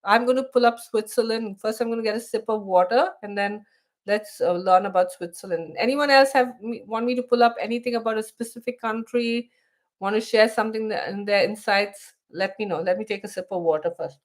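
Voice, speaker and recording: A woman's voice: mid-range voice, fairly quick. Chatty Indian woman hosting a live stream. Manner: chatty, many restarts, reads text aloud. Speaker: host of a live stream for expats. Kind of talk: casual live stream chat.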